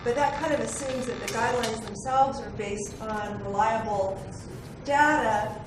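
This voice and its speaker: A woman with a loud voice asking a careful, thoughtful question from the audience at a seminar. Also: fairly high pitch, a little slow, noisy background. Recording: echoey room, far from the mic.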